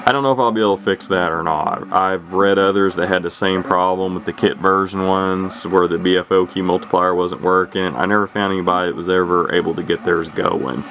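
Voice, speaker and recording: Low-voiced man rambling through a demo. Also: steady tone, brisk, hardly any pauses, fairly bright tone. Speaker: radio hobbyist presenting a receiver. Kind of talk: casual unscripted demonstration talk.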